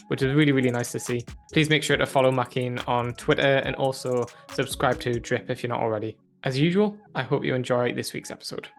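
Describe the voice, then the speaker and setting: A man hosting a podcast interview, speaking hesitantly with many fillers. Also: medium pitch. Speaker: podcast host.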